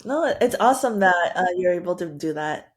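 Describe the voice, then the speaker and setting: A woman's voice: on the low side, expressive, a little fast. A woman co-hosting a podcast, chatting casually in an upbeat, giggly, encouraging way. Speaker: podcast co-host. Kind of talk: casual chat.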